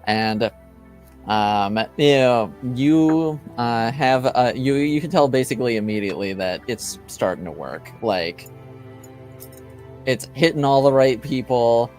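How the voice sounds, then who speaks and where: A man running a tabletop game as game master, narrating haltingly with many ums and uhs. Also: mid-range voice, expressive, a little mellow, soft background music.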